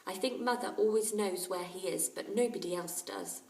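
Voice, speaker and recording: A woman voicing a brisk, plain-spoken, touchy schoolboy in a storybook. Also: medium pitch, a little mellow, close to an everyday voice. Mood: matter-of-fact. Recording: roomy echo, distant microphone.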